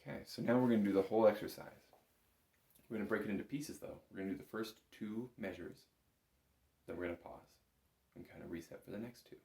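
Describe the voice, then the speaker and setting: A man's voice: on the low side, quick pace, vocal fry, long pauses, mellow, slight background noise. A man giving a step-by-step music lesson as an instructor, talking in an informal, patient, encouraging way. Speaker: bagpipe instructor. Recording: roomy, echoing room sound.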